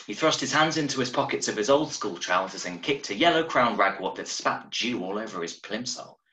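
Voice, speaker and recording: Guy reading a story out loud, low bright voice, echoey little room on a webcam. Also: lively intonation, a little fast. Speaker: reader of a short story. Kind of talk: expressive audiobook-style story narration. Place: small room at home, indoors. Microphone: video call from a desk, wearing earphones. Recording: roomy, echoing home webcam recording.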